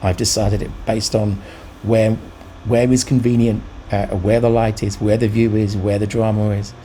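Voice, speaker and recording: A middle-aged or older man giving a casual, reflective commentary as an artist, thoughtful and halting but enthusiastic. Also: fairly low pitch, little pitch movement, warm tone, a little hiss or hum, rough recording. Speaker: urban sketching vlogger and artist.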